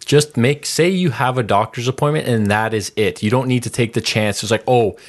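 A man's voice: mid-range voice, brisk. A man chatting casually as a podcast co-host. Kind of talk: casual group chat about soccer.

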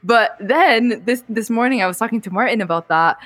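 A woman's voice: fairly high pitch, brisk, fairly bright tone, dry recording. Young woman chatting casually, dry close sound. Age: early 20s. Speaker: podcast host. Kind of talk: casual podcast chat.

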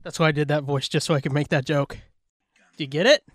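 A man's voice: fairly high pitch, fairly even intonation, fast talker, faint music behind. A man co-hosting a podcast in casual comic banter, crude, profane and joking. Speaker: podcast co-host.

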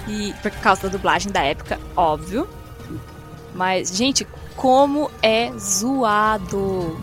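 A woman's voice: mid-range voice, slow pace, soft background music. A Brazilian woman chatting casually as a podcast co-host, emphatic and exclamatory, with slangy phrasing. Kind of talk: casual chat.

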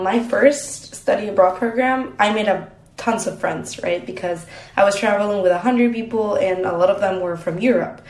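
A woman's voice: medium pitch, a little mellow. Woman, first language Spanish, reflective interview guest, roomy echoey sound. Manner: reflective, laughs easily. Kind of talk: casual interview answers. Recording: roomy echo.

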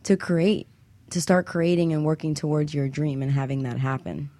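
A woman co-hosting a casual, reflective radio discussion, speaking in a halting way as she searches for words. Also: sits low, flat delivery, mellow, no room sound. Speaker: radio show co-host.

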